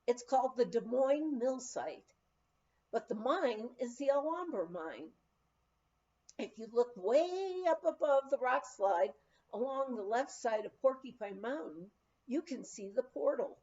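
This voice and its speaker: A woman's voice: on the high side, animated, a little slow, mellow. A woman voicing a folksy, plainspoken old male miner in an audiobook memoir, with rustic, colloquial phrasing, on a dull recording. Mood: matter-of-fact.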